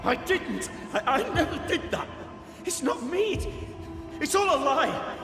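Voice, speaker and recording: An anguished, self-righteous soldier's ghost, speaking slowly in a dramatic game style. The voice is on the high side for a male and rings with long reverb over clear music. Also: big pitch swings, heavily acted. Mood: desperate. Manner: halting, repeating words, dramatic. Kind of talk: dramatic video game acting. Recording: echoing hall-like reverb under music.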